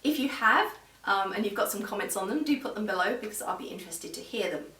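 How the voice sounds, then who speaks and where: A woman giving an informal, measured review straight to viewers, explaining things as she goes. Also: mid-range voice, animated, bright, forceful tone. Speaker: video reviewer. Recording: roomy echo, distant microphone.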